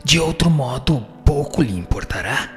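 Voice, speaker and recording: A man playing a solemn, wise teaching spirit in a radio drama, answering in a formal, measured, didactic way. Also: medium pitch, lively intonation, loud music behind the voice, lightly acted. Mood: solemn, instructive. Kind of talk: scripted radio drama reading of questions and answers.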